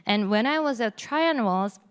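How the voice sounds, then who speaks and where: A woman in her forties speaks English with a Korean accent, her voice smooth and clear. She talks casually on a conference panel, with loose phrasing, many "you know"s and a self-deprecating touch. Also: a little high, animated, a little slow. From South Korea. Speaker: game company executive. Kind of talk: panel discussion.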